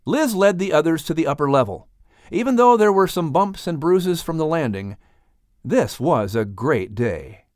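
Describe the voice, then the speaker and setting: A man's voice: fairly high pitch, expressive, moderate pace, a little mellow, dry recording, studio quality. A man reading a children's audiobook as a dramatic storyteller. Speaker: audiobook narrator.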